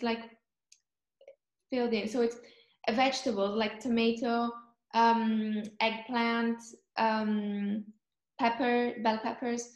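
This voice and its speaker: Teen girl on a video call, kinda monotone, lots of ums while she thinks, roomy echo. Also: on the high side. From Cyprus. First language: Greek. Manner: thoughtful, searching for words, frequent ums. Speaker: interviewee. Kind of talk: casual chat.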